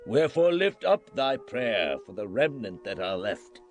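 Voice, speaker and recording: A troubled messenger of an ancient court acted by a man speaks gravely in a lowish, widely swinging voice. It is earnest scripture drama over music. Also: big pitch swings, measured pace. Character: grave, troubled male royal messenger seeking prayer. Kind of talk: dramatized scripture audiobook acting. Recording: music under the voice.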